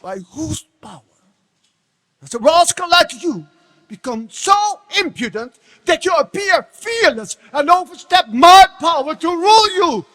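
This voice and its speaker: A man's voice: very high-pitched, big pitch swings, measured pace, exaggerated voice. A man playing an enraged, arrogant demon king and tyrannical father in a theatrical dramatic reading, imperious and menacing. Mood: furious, menacing. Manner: imperious, theatrical, swinging from menacing hush to shouts. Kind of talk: dramatic scripture reading on stage. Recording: long hall reverb.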